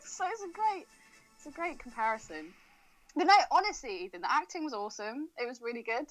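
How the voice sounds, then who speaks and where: A woman's voice: a little high, very animated, brisk, a bit bright, soft background music, low-quality recording. A woman co-hosting a podcast, chatting casually about a film in a giggly, sweary way. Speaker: podcast co-host.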